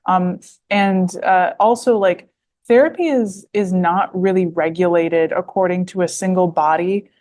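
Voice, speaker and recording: Woman in her 20s on a podcast, kinda low voice, says like and um constantly. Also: measured pace, darkish tone. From United States. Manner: rambling, heavy with fillers and restarts. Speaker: guest author. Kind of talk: podcast interview.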